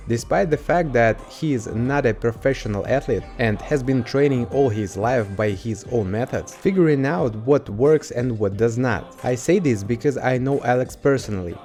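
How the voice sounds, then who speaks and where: A man's voice: mid-range voice, mellow. Ukrainian-accented man narrating over faint music. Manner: earnest, enthusiastic, slightly non-native phrasing. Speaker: fitness channel host.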